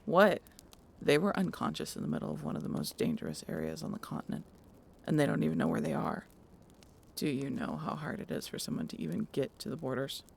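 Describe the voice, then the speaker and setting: A grumpy harpy acted by a woman speaks in a thick, gravelly creak. She is blunt, sarcastic and distrustful, with a lively, swooping delivery in an audio roleplay over faint noise and ambience. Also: low voice, a little fast, full character voice. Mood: incredulous. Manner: curt, sarcastic, swearing.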